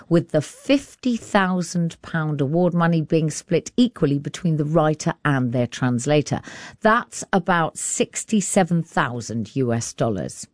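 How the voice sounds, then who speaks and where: A woman hosts an arts show on the radio with a notably low voice. The sound is dry and close. She delivers scripted links and interview questions as a presenter. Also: darkish tone, dry recording.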